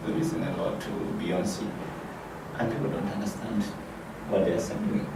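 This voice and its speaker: A man's voice: on the low side, steady tone, normal speed, vocal fry. A man who directs an arts foundation, answering interview questions with many fillers and restarts. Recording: echoey room, mic far off, noisy.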